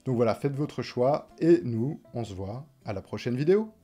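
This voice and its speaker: A man's voice: medium pitch, expressive, normal speed. A man narrating a scripted but conversational video essay, informal, putting questions straight to his viewers. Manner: informal, rhetorical questions, direct address to viewers. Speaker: host of a cartoon video essay channel. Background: background music.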